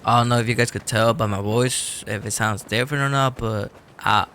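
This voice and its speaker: A man losing his voice from illness talks casually to his viewers, apologetic and rambling, clearing his throat often. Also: medium pitch, fairly even intonation, a little fast, sharp tone, a little hiss or hum. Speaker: gameplay YouTuber. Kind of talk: casual talk to viewers before gameplay.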